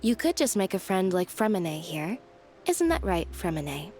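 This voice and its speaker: A woman playing a calm, deadpan young magician's assistant, matter-of-fact and drily teasing, in an anime-style video game. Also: lowish voice, normal speed, faint music behind.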